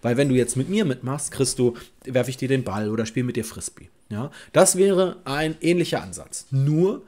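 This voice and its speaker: A man's voice: mid-range voice, lively intonation, normal speed, dark tone. A middle-aged man sits at his desk webcam in a home office and talks through dog training advice. His delivery is casual and unscripted. He thinks aloud, with frequent fillers and restarts as he works out his answer. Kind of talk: advice video, reading and answering a viewer letter. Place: home office with bookshelves, indoors.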